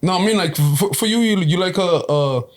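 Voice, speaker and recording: A man's voice: high voice, expressive, brisk. A man hosting a casual interview, talking in slang and often repeating words and saying like. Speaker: host.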